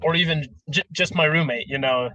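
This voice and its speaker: A man's voice: on the high side, fairly quick, bright, forceful tone, dry recording. A young man chatting casually as a student in a discussion over a dull video call, hesitant and trailing off. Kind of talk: casual chat.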